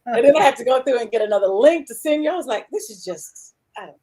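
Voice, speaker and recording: A woman's voice: on the high side, animated, fast talker, warm tone, husky. A woman hosting a casual livestream interview over a video call, chatty and rambling as she reads out viewer comments. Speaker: show host.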